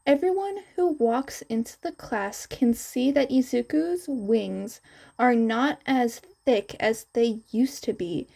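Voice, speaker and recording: A woman narrates a piece of fan fiction. Her voice sits fairly high and has a soft, mellow tone. She reads the scripted story at a slow pace. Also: gravelly.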